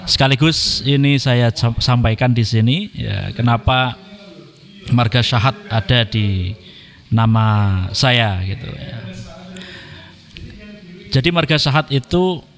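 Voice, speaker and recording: A man talks politely and at an even pace as a podcast guest. His voice sits in a middle pitch and carries a long, echoing reverb from the room. He fills his pauses with "eh" and "ya.". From Indonesia. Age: 30s. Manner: measured and polite, frequent "eh" and "ya" fillers. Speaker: podcast guest, Quran reciter. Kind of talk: casual podcast conversation.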